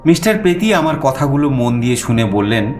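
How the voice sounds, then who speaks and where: A man narrating a suspense story in the first person, theatrical, with dramatic pauses. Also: medium pitch, sing-song, a little mellow. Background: music.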